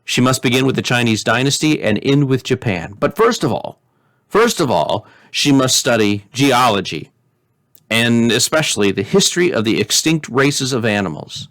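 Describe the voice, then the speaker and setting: A man playing an excitable, flighty old-fashioned philosopher. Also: medium pitch, moderate pace, no echo. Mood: zealous lecturing. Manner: emphatic, repetitive, declamatory. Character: quick, flighty, excitable male spiritualist philosopher, lecturing urgently. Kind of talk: storybook character reading.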